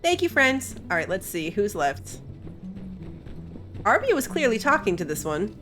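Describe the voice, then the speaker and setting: Animated woman doing let's play commentary. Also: medium pitch, measured pace, soft background music. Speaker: gaming video host.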